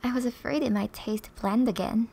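A woman playing a flirty, teasing, affectionate wife in an ASMR roleplay, soft and often breathy, with playful, intimate delivery. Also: on the high side, moderate pace.

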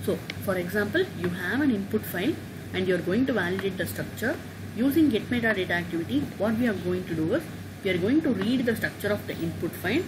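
A woman teaching a software tutorial over a screen recording, talking haltingly with many "uh" and "okay" fillers. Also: mid-range voice, animated, background noise. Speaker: tutorial instructor.

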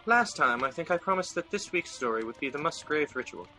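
Man acting fussy exasperated gentleman, radio drama, bright forceful voice, highish pitch, declamatory. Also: expressive, brisk, faint music behind. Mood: fussy, reminiscing.

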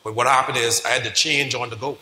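A man's voice: mid-range voice, steady tone, fast, sharp tone. A Bahamian man on a conference panel, earnestly telling his story to young people. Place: large conference hall stage. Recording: echoey hall, faint noise.

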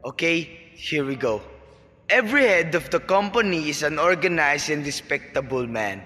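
Man acting pompous rich father, sharp and forceful. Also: a little high, lively intonation, moderate pace. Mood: scornful. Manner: pompous, bullying, contemptuous. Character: arrogant, wealthy middle-aged businessman and scornful father. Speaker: voice actor. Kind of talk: amateur student radio drama acting. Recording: reverberant hall-like echo.

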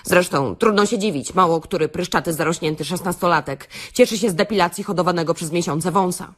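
A woman's voice: fairly low pitch, fairly quick, close to an everyday voice. A woman playing a brash, sarcastic beautician in a satirical radio-play monologue, theatrical and full of rhetorical questions to her listeners. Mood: wry, scheming. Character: brash, sarcastic beautician delivering a comic self-help rant. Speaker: actress reading a comic monologue.

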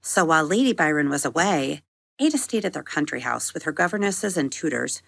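A woman hosting a podcast, narrating a bedtime story for children in a gentle, expressive storytelling manner. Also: deep voice, fairly quick, vocal fry, breathy edge, no echo, studio quality.

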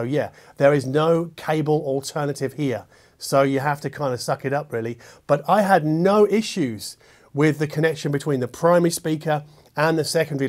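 Man, middle-aged, higher-than-average voice, conversational reviewer, emphatic phrasing, casual asides. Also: darkish tone. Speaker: host, audio gear reviewer. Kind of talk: conversational product review to camera. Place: furnished living room with acoustic panels, indoors.

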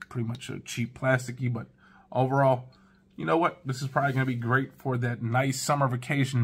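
A man's voice: medium pitch, a bit bright. Laid-back man chatting to camera. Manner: laid-back, chatty, talks straight to viewers. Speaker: fragrance reviewer. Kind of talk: casual first-impressions review. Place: living room at home.

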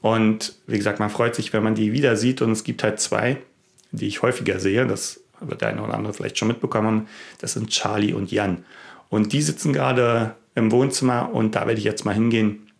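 A man's voice: lowish voice, fairly even intonation, fairly quick, creaky. A man from Berlin, Germany, hosting a tech vlog and talking casually to the camera. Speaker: YouTube tech vlogger, host.

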